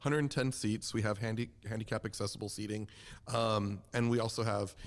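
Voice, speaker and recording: A man with a fairly low voice chats as an interview guest. He talks in a casual, conversational way, sounding keen and encouraging about what he does. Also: fairly even intonation, brisk. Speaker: community theater representative, podcast guest.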